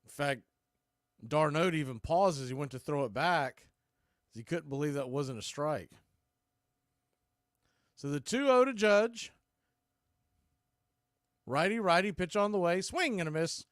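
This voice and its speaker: Higher-voiced man calling baseball play-by-play. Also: lively intonation, unhurried, pauses often, dry recording, clean studio sound. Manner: clipped pitch calls with short phrases. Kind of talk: live radio-style play-by-play commentary.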